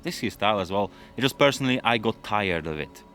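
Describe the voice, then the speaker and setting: Deep-voiced man chatting to camera. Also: fast. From London. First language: Russian. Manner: relaxed, rambling, with frequent fillers and restarts. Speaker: automotive YouTuber. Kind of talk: casual Q&A chat to camera.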